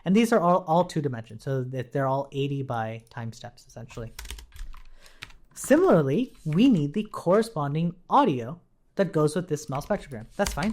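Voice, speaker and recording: A woman giving an informal programming tutorial, explaining as she types and often checking in with a quick "right?". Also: deep voice. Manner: checks understanding with frequent "right?". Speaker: programming instructor. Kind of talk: informal coding tutorial, explaining while typing.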